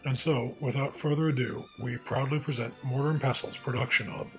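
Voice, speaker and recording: A man announces a radio show from a script, formal and presentational. His voice sits at a middle pitch with noticeable fry, and light music plays underneath. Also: steady tone, a little fast, rough recording.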